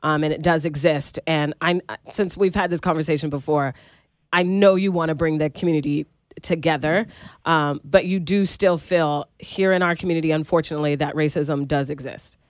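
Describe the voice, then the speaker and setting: A woman's voice: deep voice, fairly even intonation, moderate pace, no echo, studio quality. A woman hosting a radio interview, talking casually and chattily with many fillers. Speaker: radio host. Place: radio studio.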